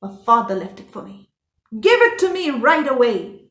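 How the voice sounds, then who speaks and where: Headstrong young storybook king, woman acting: high, slow declamation, commanding, echoey recording with faint music. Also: big pitch swings, a bit bright. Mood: demanding, angry. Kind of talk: acted storybook dialogue.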